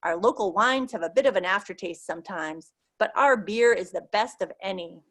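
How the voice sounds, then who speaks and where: A woman's voice: mid-range voice, moderate pace, fairly bright tone, close to an everyday voice. A woman reading the lines of a friendly American male bartender in his thirties, chatty and a little cagey.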